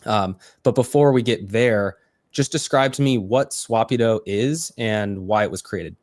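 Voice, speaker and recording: Man, low pitch, podcast host, brief curious questions. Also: a little slow, a little mellow. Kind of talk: interview questions.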